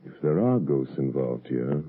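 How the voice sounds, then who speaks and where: A suave, probing gentleman sleuth in a vintage radio mystery. His voice is low and husky, with a measured, needling delivery, heard through a narrow, muffled old recording. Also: big pitch swings, full character voice. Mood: intrigued. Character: urbane, probing male amateur sleuth and psychologist. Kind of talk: 1930s-40s radio mystery acting.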